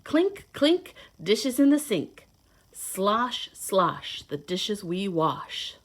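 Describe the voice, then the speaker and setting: A woman reading a children's picture book aloud in a playful, expressive, sing-song way, adding simple asides to her young listeners. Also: medium pitch, extremely slow. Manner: simple child-directed explaining. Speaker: children's read-aloud host, teacher.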